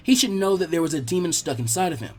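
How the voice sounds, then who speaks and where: A man reading fan fiction aloud as an expressive scripted narration. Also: on the high side, quick pace, slightly rough.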